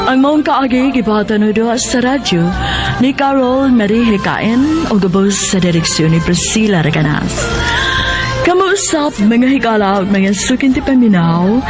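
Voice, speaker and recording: A woman with a Cebuano accent delivers scripted radio host announcements. Her pitch is average and her delivery formal, with music clearly playing behind her. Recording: broadcast with music bed. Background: background music.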